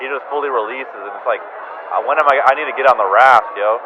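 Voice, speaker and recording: A low-energy, downcast man rambling through a confessional voicemail, halting and repeating himself, casual and prone to swearing. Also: crowd noise. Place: bar, sitting alone. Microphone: phone voicemail recording. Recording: muffled sound, loud bar noise. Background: loud bar ambience.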